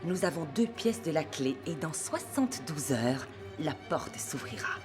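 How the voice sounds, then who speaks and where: A woman playing a cool villain's aide in a cartoon, formal, measured and gloating. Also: low voice, lively intonation, loud music behind the voice. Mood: cool satisfaction. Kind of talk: dubbed cartoon acting.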